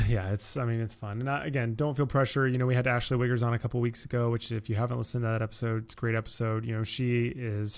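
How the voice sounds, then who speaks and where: Guy hosting a podcast, easygoing n reassuring, bit of vocal fry. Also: medium pitch. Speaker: podcast host. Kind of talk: casual chat.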